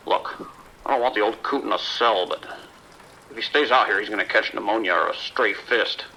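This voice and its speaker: A man playing a gruff, exasperated local on a call in an audio drama, blunt and grumbling. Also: fairly low pitch, moderate pace, pushed and bright, a little hiss or hum, rough recording. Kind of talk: audio drama phone dialogue.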